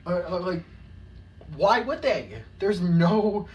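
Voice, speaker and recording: A woman's voice: on the low side, big pitch swings, takes it slowly, darkish tone, highs cut off. Woman ranting thru a dumb list, trips over her words a lot, mic kinda far off, faint hiss. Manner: stammering, repeats words often; mocking, laughs often. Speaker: commentary host. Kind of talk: casual reaction commentary.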